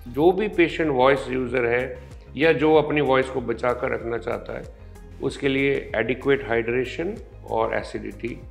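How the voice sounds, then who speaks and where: A man explains a medical topic in a calm, unhurried interview. He talks very slowly, setting out each point patiently with small pauses. The overall effect is of a doctor walking a lay audience through the facts. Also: medium pitch, vocal fry. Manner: slow, measured, explaining step by step with pauses. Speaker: ENT surgeon guest.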